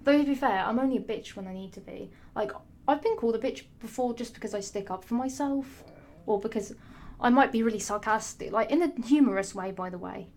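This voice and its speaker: A young woman talks to camera in a loose, rambling monologue, her voice rough and hoarse. She is sassy and swears freely, often restarting her sentences mid-thought. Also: a little high, a little fast, a little mellow. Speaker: vlogger.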